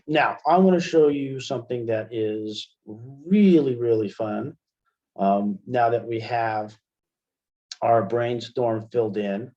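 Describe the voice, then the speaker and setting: Slow-paced man presenting a software tutorial. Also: mid-range voice. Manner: informal, step-by-step explaining. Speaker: instructor, webinar presenter. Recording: dull, lo-fi webinar audio.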